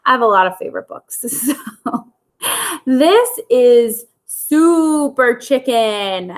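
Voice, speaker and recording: A woman with a fairly high voice tells a story for children. She reads aloud as a school storyteller. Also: sing-song, takes it slowly.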